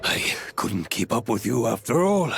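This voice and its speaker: A man playing a seasoned, good-natured adventurers' guild leader in a video game, with a gravelly voice. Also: mid-range voice, sing-song, normal speed, over music.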